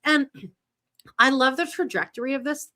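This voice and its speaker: Bright-voiced chatty woman podcaster. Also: sits high, expressive, unhurried. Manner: rambling, heavy use of "like", frequent restarts; chatty and enthusiastic. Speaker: podcast co-host. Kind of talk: casual pop-culture chat.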